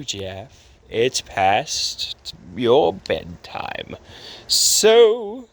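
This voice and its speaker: A man voicing a smug, menacing doctor in an amateur audio horror story, with drawn-out, theatrical, mock-polite delivery. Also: lowish voice, creaky, slight background noise, full character voice. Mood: sinister.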